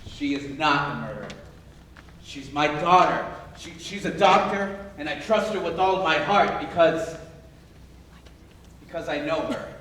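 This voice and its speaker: A man's voice: on the high side, lively intonation, a little slow, fairly bright tone, a little hiss or hum. A man playing an anguished, protective father who works as a prison guard, in a staged musical reading. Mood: earnest, protective. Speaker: musical theatre actor-singer. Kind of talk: live staged musical reading, spoken and sung. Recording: echoey stage from far off, music behind.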